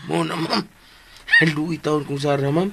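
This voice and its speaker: A man playing a deferential, gossipy household helper in a radio drama, halting and repetitive in his colloquial talk. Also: a little high, fairly even intonation, creaky, noisy background. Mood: deferential, reporting. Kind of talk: Philippine radio drama acting.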